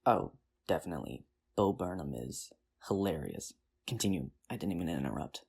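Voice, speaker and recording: Nerdy teacher on a date asmr roleplay acting, really fry-heavy husky voice, kinda hesitant and rambly, pitch moves around a lot. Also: animated, normal speed, darkish tone, full character voice. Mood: playful, friendly. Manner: hesitant, rambling, self-deprecating. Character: nerdy, earnest high school teacher nervously courting a date.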